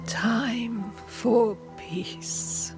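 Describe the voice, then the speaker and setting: A woman's voice: fairly high pitch, fairly even intonation, music in the background. An actress playing a dying old woman, a cryptic seer in a game cutscene, solemn, weary and whispery. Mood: weary, tender. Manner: slow, cryptic, whispery pronouncements. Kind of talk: dramatic game cutscene acting.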